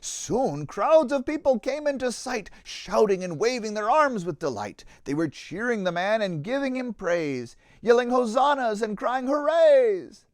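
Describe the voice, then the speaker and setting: A man, a pastor, reading a children's storybook aloud in an expressive, theatrical way, with a rhythmic delivery of verse. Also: extremely high pitch, measured pace, few pauses, no room sound, clean studio sound.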